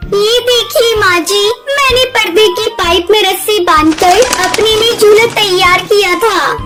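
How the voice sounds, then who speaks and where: Very high-pitched, childlike cartoon bird wife. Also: female voice. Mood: hurt, wailing. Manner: girlish, eager, insistent. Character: young female bird wife, childlike, pleading, excitable. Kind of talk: Hindi children's cartoon dialogue. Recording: loud music bed behind voice.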